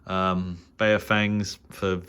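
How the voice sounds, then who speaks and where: A man's voice: sits low, level tone, drawn-out words, fairly bright tone. A middle-aged English man giving a casual, unscripted narrated tour as a hobby host, speaking in a hesitant, rambling way. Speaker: shortwave radio hobbyist and channel host. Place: small spare bedroom radio shack, indoors. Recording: clearly noisy home room recording.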